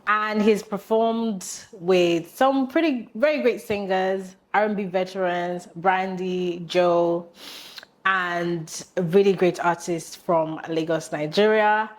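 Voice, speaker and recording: Woman, podcast host; bubbly, enthusiastic, quick to affirm; medium pitch. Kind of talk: podcast interview.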